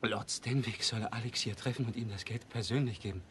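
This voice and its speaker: An actor playing a frightened, injured petty crook, speaking in broken phrases in a German radio crime drama. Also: male voice, fairly low pitch, fairly quick, fairly bright tone, slight background noise, natural voice. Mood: weak, pleading. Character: wounded small-time crook, frightened, gasping out his story.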